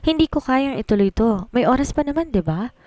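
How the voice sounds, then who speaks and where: A woman's voice: sits high, big pitch swings, brisk, darkish tone, no echo, voice pushed up. A woman voicing a nervous young bride, fretful and pleading but determined, in a Filipino romance audio story. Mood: anxious.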